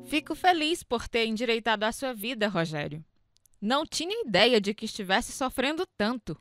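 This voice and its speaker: An actress playing a polite, skeptical sister with a Brazilian accent in a radio drama. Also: female voice, on the high side, big pitch swings, moderate pace, fairly bright tone, dry recording, clean studio sound, soft background music. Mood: appreciative. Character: skeptical sister, polite but resistant to preaching.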